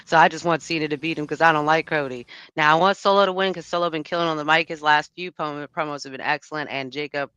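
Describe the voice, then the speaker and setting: A woman co-hosting a casual panel chat, blunt and opinionated, saying she has a deep voice. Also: fairly even intonation, fairly bright tone, clear, resonant tone, no echo. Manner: blunt, opinionated, quick and dismissive. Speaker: podcast co-host.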